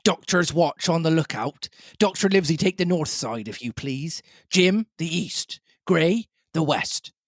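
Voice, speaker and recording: A man's voice: a little high, measured pace, few pauses, slightly rough, dry recording, studio quality, voice pushed up. A man voicing a stern, haughty ship's captain in an adventure storybook, clipped and commanding. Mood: brisk, commanding.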